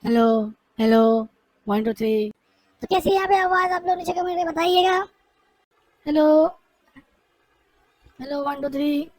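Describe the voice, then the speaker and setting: एक आदमी वॉइस चेंजर इफ़ेक्ट से लड़की बनकर सहज ढंग से माइक टेस्ट करता है।. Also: male voice, very high voice, a little slow, long pauses, a little mellow, pitched above the performer's own voice, rough recording. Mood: playful testing. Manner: testing the microphone casually. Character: husky girl made by a voice-changer effect. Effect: voice changer shifting man to female.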